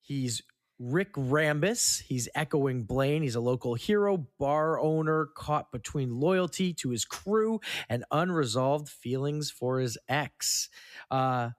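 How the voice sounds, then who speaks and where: A man's voice: mid-range voice, slow pace, no room sound. Man podcast host in a dry studio sound. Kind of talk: casual film trivia rundown among co-hosts.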